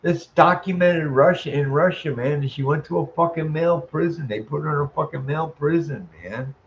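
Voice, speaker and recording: A man ranting in a profane monologue, ending sentences with "man," chuckling, and stalling on drawn-out "uh" sounds. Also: a little high, dull audio, slight background noise. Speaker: vlogger.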